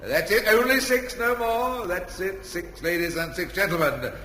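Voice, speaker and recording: Theatre master of ceremonies, grandiose, declamatory, bright, long hall reverb, hissy vintage radio. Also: male voice, sits high, moderate pace. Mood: showy. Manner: declamatory, showy. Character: grandiose stage announcer presenting a hypnotist. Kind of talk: old-time radio comedy acting, showman patter. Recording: reverberant hall effect, old radio hiss.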